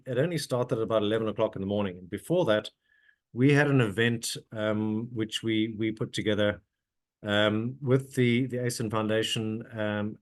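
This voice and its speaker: A middle-aged man with a somewhat low voice, hoarse and a little scratchy from a cold. He talks on a video call in a halting way, with plenty of ums, uhs and restarts. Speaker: webinar speaker. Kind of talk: presenting at an online webinar.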